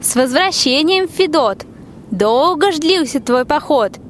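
A woman's voice: high voice, animated, measured pace, slight background noise. A young woman playing a loving, plaintive soldier's wife in a rhymed radio play. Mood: reproachful. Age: young adult. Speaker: school student actor. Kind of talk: theatrical verse radio play.

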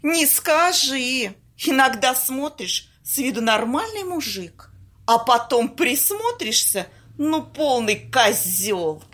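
Brash, cheeky female friend for a radio comedy, played by an amateur actress: high voice, very animated, unhurried bantering delivery. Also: heavily acted. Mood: cheeky, argumentative. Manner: bantering, colloquial, theatrical. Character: brash, cheeky woman fond of a drink. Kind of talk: amateur audio play acting.

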